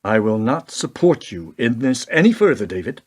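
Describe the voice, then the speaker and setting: Man playing an impassioned, indignant president, swooping pitch. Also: sing-song, normal speed, breathy edge. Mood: grave, accusing. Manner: indignant, probing, emphatic. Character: outraged, principled American president challenging his adviser. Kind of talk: radio play acting.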